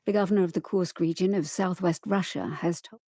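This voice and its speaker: Male news reader, dark relaxed timbre, scripted international bulletin, dry studio sound. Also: sits high, brisk, no room sound. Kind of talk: scripted world news reading.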